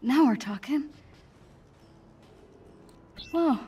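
A woman's voice: high-pitched, lively intonation, drawn-out words, mellow, full character voice. A young woman playing an eager, restless American teenage girl who mutters to herself in a video game. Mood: excited muttering. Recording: clear ambient noise.

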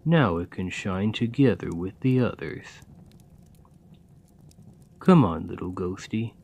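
A man playing a kind, patient gravedigger in a soft, soothing, encouraging ASMR roleplay. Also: low-pitched, lively intonation, slow, vocal fry, a little hiss or hum, full character voice. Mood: fond, playful. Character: kind, gentle gravedigger, patient and playfully fond. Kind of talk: ASMR roleplay, scripted comforting monologue.

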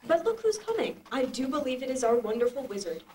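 A woman's voice: sits high, sing-song, a little fast, mellow. A woman playing a gracious young fairy princess in an amateur puppet show, speaking in a stately way. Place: live puppet show at a convention. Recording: distant camcorder with background hiss.

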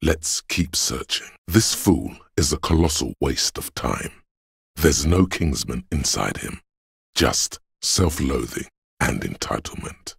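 Very deep, gravelly, grim inner voice. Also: male voice, animated, dry recording, clean studio sound, heavily acted. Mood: gruff, judging. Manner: slow, weighty, ominous. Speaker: video game voice actor. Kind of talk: scripted second-person game narration.

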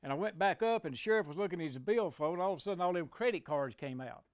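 A man's voice: sits high, expressive, fast, no echo, studio quality. An older man with a Southern US accent casually telling a story in an interview. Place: living room, seated in an armchair.

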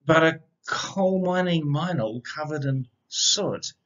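A middle-aged man reading a rhyming children's picture book aloud in an expressive, measured storytelling manner. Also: a little high. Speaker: storybook reader, educator. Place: indoor room. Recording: slightly dull, lo-fi webcam sound.

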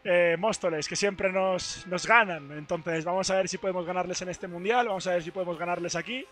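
A young man with a hoarse, worn-out voice talks excitedly to his stream viewers and keeps repeating himself. He speaks into a headset mic in a studio booth. His voice is high and bright, with faint music playing behind him. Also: a little fast. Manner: excited, repetitive. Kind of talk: casual stream chat.

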